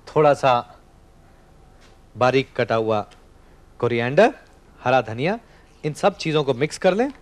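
Man in his thirties, TV chef, slightly high voice, step-by-step cooking demo, studio kitchen, lapel mic. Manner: explains step by step while cooking, directly to camera. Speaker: TV cooking show host. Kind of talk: scripted cooking demonstration.

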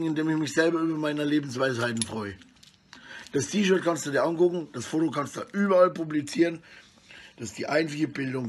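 A middle-aged man with a husky voice rambles casually and coarsely in slang, talking to his phone at arm's length indoors. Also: on the high side, a little hiss or hum. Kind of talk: rambling casual selfie monologue. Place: small indoor room at home.

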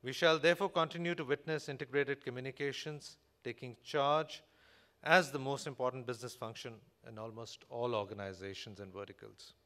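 A man with an Indian accent delivering a formal, measured, scripted keynote speech at a conference as a corporate executive. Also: medium pitch, a bit bright. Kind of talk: prepared conference presentation read from a script. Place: large hotel conference hall, on stage at a podium.

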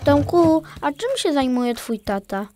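A woman's voice: sits high, animated, measured pace, mellow, faint music behind. A woman voicing a curious, eager little boy elephant at school in a children's audio play.

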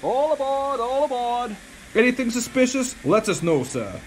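An officious constable calls out chirpily in an extremely high, swooping voice. It is comic radio play acting, with slight noise behind. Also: male voice, expressive, normal speed, poor audio. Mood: calling out. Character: officious, chirpy male police constable at a railway station.

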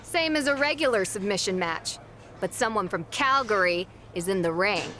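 A blunt, prickly young wrestler. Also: female voice, mid-range voice, animated, normal speed, pushed and bright, slight background noise. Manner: blunt, impatient. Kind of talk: video game cutscene dialogue.

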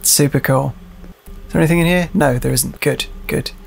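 A man hosts a casual let's-play in a creaky, slightly higher voice. He is chatty and jokey, often muttering to himself and swearing as he plays. Faint game ambience sits behind him. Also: moderate pace, a little hiss or hum. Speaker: gaming show host, playing the game.

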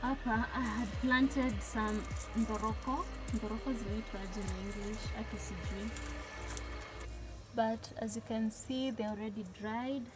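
A woman's voice: a little high, steady tone, unhurried, poor audio. A young adult woman with a Kenyan accent, chatting casually as she vlogs. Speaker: vlogger. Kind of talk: casual vlog chat mixing two languages. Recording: loud music bed under the voice. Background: loud music.

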